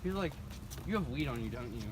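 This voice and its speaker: A man's voice: medium pitch, lively intonation, quick pace. Young American guy, noisy casual recording. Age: teens to young adult. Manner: slangy, profane. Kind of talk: casual chat, storytelling among friends. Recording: noisy amateur recording with clear background noise.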